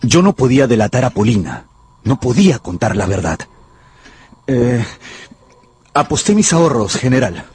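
A man's voice: medium pitch, very animated, moderate pace, long pauses, darkish tone, husky. An actor playing an impassioned, lovestruck young tutor who tells his story in a radio drama. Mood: sheepish. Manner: fervent, theatrical. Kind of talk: dramatic first-person narration and radio drama acting in Spanish.

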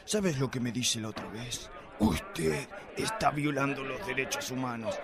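A man's voice: mid-range voice, measured pace, creaky, fairly bright tone. A man playing a crude, boastful, sleazy police inspector in a comic radio drama, speaking Argentine slang. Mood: contemptuous. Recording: live radio play with crowd noise.

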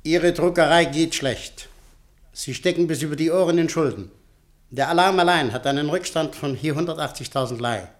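A man's voice: a little high, normal speed, lightly acted. An actor as a domineering, curt businessman. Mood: commanding. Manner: curt, commanding, indignant. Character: domineering, suspicious, impatient businessman with menacing edge. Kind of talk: German radio play acting.

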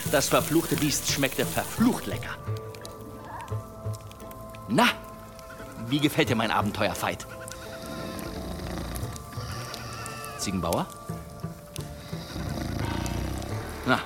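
An actor playing a wry, self-satisfied rustic yarn-spinner in a German radio drama. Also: male voice, medium pitch, natural voice. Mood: smug. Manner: self-satisfied, savoring folksy storytelling. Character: wry, boastful rustic storyteller of tall adventures.

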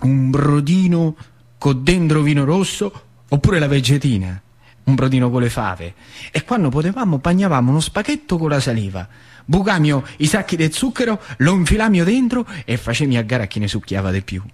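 A man's voice: a little high, big pitch swings, fairly quick, darkish tone. An actor playing an old working-class factory man with a central Italian accent from northern Lazio, rambling and confiding as he reminisces in a theatrical monologue. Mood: nostalgic, relishing. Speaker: stage actor.

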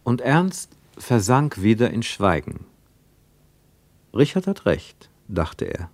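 A brooding male narrator in a radio play, voicing bitter inner thoughts. His medium-pitched voice moves widely up and down, recorded very dry and close. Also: big pitch swings, takes it slowly, a little mellow, no room sound, exaggerated voice.

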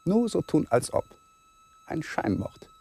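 An actor playing an anxious young lawyer in a fragmented, brooding inner monologue that drifts into whispers, in a radio play. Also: male voice, very high-pitched, wildly swooping pitch, takes it slowly, warm tone, husky, exaggerated voice. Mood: dazed, haunted.

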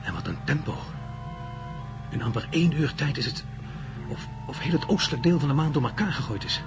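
An actor playing an intense, questioning astronaut in a science fiction radio drama, insistent and sceptical. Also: male voice, medium pitch, big pitch swings, brisk, creaky, over music. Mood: tense. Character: intense, questioning male astronaut seeking explanations. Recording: noisy drama recording with cabin ambience.

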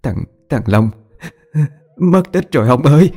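A man's voice: medium pitch, lively intonation, a little slow, darkish tone, husky, pitched above the performer's own voice. A man voicing a frightened, wailing middle-aged wife, pleading and stammering in an audio horror story. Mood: panicked, wailing.

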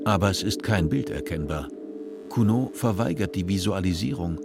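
Low-voiced male radio play narrator. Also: expressive, normal speed, loud music behind the voice. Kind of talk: scripted narration.

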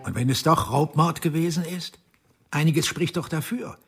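An actor playing an investigator pressing his theory in a radio drama, with a husky voice. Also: fairly high pitch, moderate pace, natural voice. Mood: insistent.

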